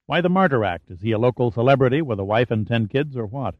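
Man as a curt, sardonic tough guy, middle pitch, muffled dry audio. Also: expressive, fast, no room sound, lightly acted. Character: blunt, tough-talking man of action. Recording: dull, muffled dry recording.

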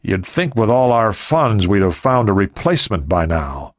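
A man's voice: fairly low pitch, big pitch swings, normal speed, dry recording. A confident adventurer boss, acted by a male narrator in an audiobook thriller. He is terse and wry, matter-of-fact yet strongly inflected, heard in a dry studio sound.

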